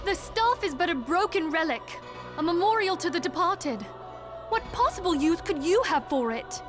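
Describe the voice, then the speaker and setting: A woman's voice: very high-pitched, lively intonation, moderate pace. An actress playing an earnest, resolute young woman leader in a video game, with formal, solemn diction. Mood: defiant, resolute. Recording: loud background music.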